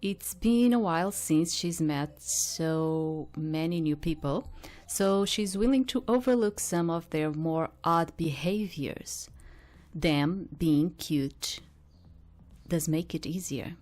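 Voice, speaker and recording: A woman giving casual game commentary and reading aloud, thinking out loud in a hesitant, rambling way. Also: deep voice, takes it slowly. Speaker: host of a game playthrough.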